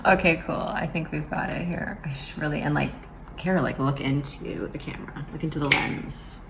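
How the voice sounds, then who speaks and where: A woman in her forties chats to her camera in a loose, rambling way, full of fillers and restarts. Her voice sits low and carries a gravelly rasp. A faint hum of the room runs behind her. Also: moderate pace. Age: 40s. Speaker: vlogger. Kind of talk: casual vlog talk to camera.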